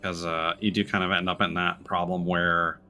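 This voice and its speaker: Low-voiced man giving wry game commentary. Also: little pitch movement, moderate pace, bright, forceful tone. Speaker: streamer playing a video game. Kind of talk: casual let's play commentary. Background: game music.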